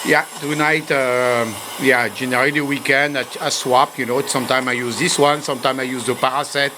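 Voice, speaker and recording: An amateur radio operator chatting casually and haltingly in non-native English. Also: mid-range voice. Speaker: amateur radio operator showing homebrew equipment. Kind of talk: casual chat to camera. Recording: loud steady hiss and equipment noise.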